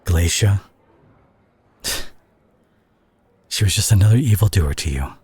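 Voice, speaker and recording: Man acting concerned superhero, audio drama, very breathy husky voice, widely swinging intonation, earnest, halting delivery. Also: low voice, lively intonation, darkish tone, no echo, heavily acted. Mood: somber, pained. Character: concerned male superhero confronting his reckless friend and teammate. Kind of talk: dramatic audio roleplay monologue.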